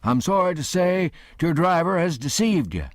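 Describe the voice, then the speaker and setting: A man voicing a hospitable old gentleman with a Southern accent, coaxing and kindly. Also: a little high, animated, moderate pace, dry recording, clean studio sound, pitched above the performer's own voice, natural voice. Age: older. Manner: warm, coaxing, hesitant when flustered.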